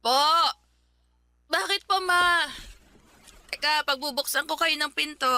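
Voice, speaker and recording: A woman's voice: high voice, unhurried, pushed and bright, a little hiss or hum, heavily acted. An actress with a Filipino accent playing a guarded, anxious young woman in love in a Tagalog radio romance drama. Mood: puzzled.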